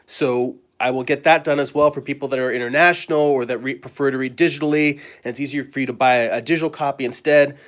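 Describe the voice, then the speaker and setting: Hoarse man with a cold. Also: mid-range voice, steady tone. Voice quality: raspy, with throat clearing. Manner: rambling, friendly, halting with fillers. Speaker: comic artist and live-stream host. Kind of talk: casual live-stream chat. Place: home studio at a drawing table.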